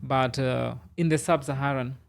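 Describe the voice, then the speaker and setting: A man's voice: mid-range voice, steady tone, normal speed, gravelly, slight background noise. A man talking as a guest on a podcast in a studio, rambling loosely and packing his speech with "you know" and "I mean.". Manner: rambling, filler-heavy, many "you know"s. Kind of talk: casual interview chat.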